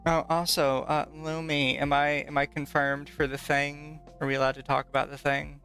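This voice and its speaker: A woman's voice: deep voice, little pitch movement, moderate pace, a bit bright. A woman co-hosting a podcast in casual chat, blunt and playful in manner. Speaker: podcast co-host. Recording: background music under voices. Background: background music.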